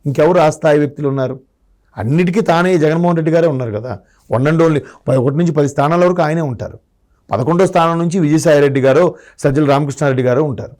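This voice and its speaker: A man's voice: a little high, fast talker, darkish tone. Man arguing politics. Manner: argumentative, asks rhetorical questions. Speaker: political commentator. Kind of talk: political discussion.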